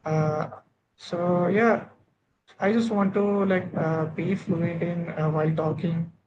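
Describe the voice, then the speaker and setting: A young man in his twenties from Odisha with an Indian English accent, talking haltingly with many fillers and restarts while practising English with a tutor. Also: high voice. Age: 20s. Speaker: English learner. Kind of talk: online speaking practice session. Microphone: video call. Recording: dull lo-fi call audio with steady noise.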